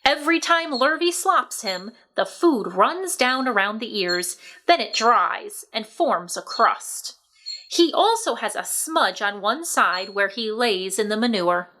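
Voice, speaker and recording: Woman playing a bright, emphatic farmer's wife. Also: on the high side, animated, a little slow. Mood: insistent. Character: brisk, determined farmer's wife announcing her plans.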